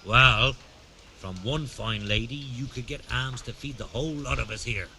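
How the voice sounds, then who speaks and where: A sharp-voiced wily, aggrieved beggar. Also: male voice, mid-range voice, moderate pace, slight background noise. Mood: grumbling, persuasive. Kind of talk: video game dialogue acting.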